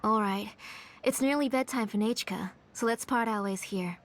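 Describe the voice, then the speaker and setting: A woman's voice: a little high, normal speed, slight background noise, natural voice. An actress playing a polite but guarded, controlling mother in a video game, composed and firm. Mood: politely composed.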